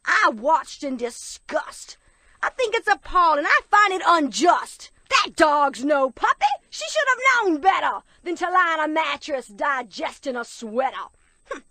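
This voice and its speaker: A woman's voice: high-pitched, bright, forceful tone, no echo, full character voice. A woman playing an indignant, self-righteous earthworm witness with a haughty, theatrical delivery in a comic rhymed radio play.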